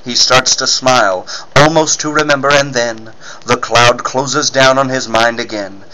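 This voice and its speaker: Male narrator, bright forceful tone, dramatic phrasing, vintage radio serial announcer style. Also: mid-range voice, steady tone, measured pace, rough recording.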